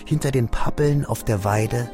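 A man narrating a literary radio drama in a measured, reflective way, as a young man recalling a friend, in a husky, creaky voice. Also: medium pitch, over music, lightly acted. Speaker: first-person narrator.

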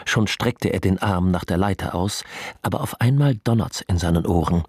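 A man's voice: low-pitched, moderate pace, a little mellow, no echo, clean studio sound. A man reading scripted literary narration as the narrator of a radio play. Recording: music clearly behind the voice.

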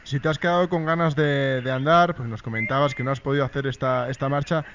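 A man's voice: medium pitch, steady tone, quick pace, a bit bright. A man co-hosting a live radio programme, asking unscripted interview questions and searching for his words. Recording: muffled lo-fi radio with faint noise.

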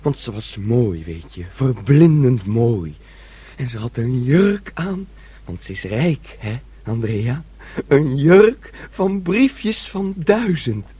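Husky, excitable childlike son. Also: male voice, medium pitch, slow pace, no echo, full character voice. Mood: dreamy storytelling. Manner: childlike, dreamy, rambling. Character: naive, excitable, childlike son dreaming of being a chauffeur. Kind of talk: radio drama acting.

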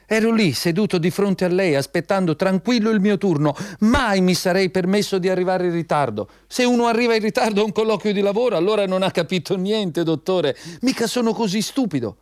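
Man acting a higher-voiced, anxious job applicant. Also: a little fast, hardly any pauses, dry recording, studio quality, close to an everyday voice. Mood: defensive. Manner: apologetic, eager to please. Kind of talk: Italian radio play acting.

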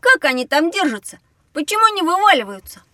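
High-voiced cheeky schoolboy. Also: female voice, big pitch swings, fairly quick, pushed and bright, no room sound, heavily acted. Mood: amazed, curious. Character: curious, cheeky schoolboy Petya. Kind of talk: scripted children's educational audio drama.

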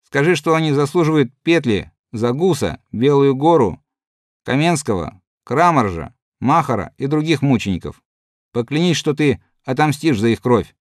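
Scornful young soldier, teasing and scolding with a lively voice, read by a man. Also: medium pitch, moderate pace, no room sound, clean studio sound, natural voice. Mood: mocking.

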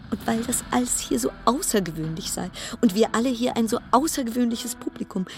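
An actress playing an uneasy, sardonic cruise ship passenger, narrating a German radio play in a wry, insistent way. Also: female voice, medium pitch, close to an everyday voice. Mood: wry, observant. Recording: clear background noise and ambience.

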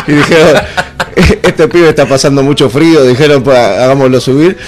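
A man's voice: medium pitch, moderate pace. Rambling Argentine man hosting. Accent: Argentine Spanish. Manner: rambling, self-mocking, many fillers. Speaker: comedy show host. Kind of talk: casual comedic banter.

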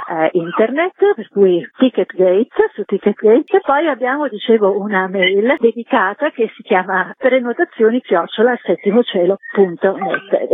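A woman speaks as an interviewed festival organiser, going through practical details. Her voice comes over a thin, muffled phone connection with faint noise on it. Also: medium pitch. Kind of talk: spoken radio interview, giving practical details. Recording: noisy, dull phone-in to radio.

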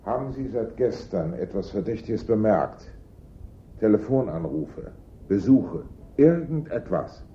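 Suspicious gentleman conspirator, lively low voice. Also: measured pace. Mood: suspicious, nervous. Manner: urgent, interrogating, commanding. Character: suspicious, impatient gentleman conspirator buying stolen art. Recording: dull vintage radio recording, faint rumble.

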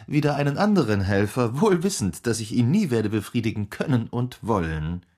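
A man's voice: mid-range voice, very animated, normal speed, a little mellow. An actor playing a penniless man with gallows humour, lamenting his woes in pompous, theatrical style in a radio drama. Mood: comic lament. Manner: theatrical, pompous, mock-tragic. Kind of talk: literary radio drama acting.

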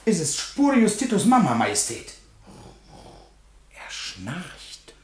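An actor playing a deferential old valet to a Roman emperor in a radio play, servile, formal and plaintive. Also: male voice, a little high, huge pitch swings, slow pace, darkish tone, full character voice. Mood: subdued, obedient. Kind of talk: theatrical radio play dialogue.

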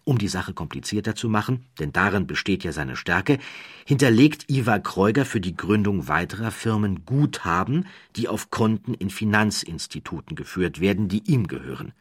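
Deep, husky German male narrator. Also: animated, moderate pace, dry recording, clean studio sound. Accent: standard German. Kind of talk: scripted documentary storytelling narration.

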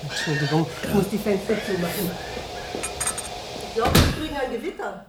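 An actress in a radio play as a sociable, concerned middle-aged country innkeeper, polite and chatty. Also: female voice, medium pitch, very animated, normal speed, very noisy, close to an everyday voice. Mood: reminiscing.

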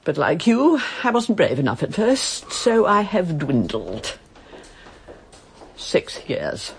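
A woman's voice: on the low side, huge pitch swings, a little hiss or hum, full character voice. An imperious old lady. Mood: earnest. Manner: imperious, brisk, theatrical. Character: elderly reclusive widowed aristocratic lady, commanding. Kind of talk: radio drama acting.

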